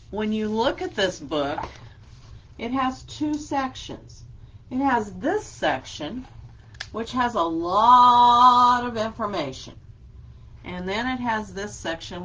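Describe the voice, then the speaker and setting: A woman librarian leading a children's story time, reading a picture book aloud and explaining gently. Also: mid-range voice, animated, takes it slowly, slight background noise, low-quality recording.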